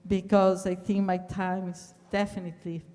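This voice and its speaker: A woman closing a lecture, earnest and measured, pausing on fillers. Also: mid-range voice, little pitch movement, mellow. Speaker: speaker at a talk. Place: large lecture hall. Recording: hall reverb from a distance.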